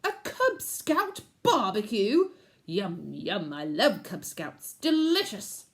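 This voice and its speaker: A greedy, bullying wolf uncle growls out his boasts slowly and loudly, as a woman reading the storybook puts him on. His voice rises above the reader's own, heavy with bluster. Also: medium pitch, sing-song. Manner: loud growling bluster, slow and drawn out.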